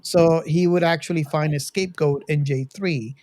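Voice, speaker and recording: A podcast host speaking casually in a halting way, often restarting sentences, while leading a film discussion. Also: medium pitch, steady tone, a little mellow, no room sound.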